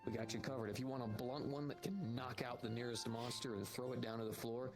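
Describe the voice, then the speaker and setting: A man's voice: medium pitch, steady tone, quick pace. A man improvising in-character roleplay as a guild shopkeeper, explaining things at length in a wordy, salesman-like patter. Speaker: roleplayer running a guild shop in a social VR roleplay. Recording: music playing behind the voice. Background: music.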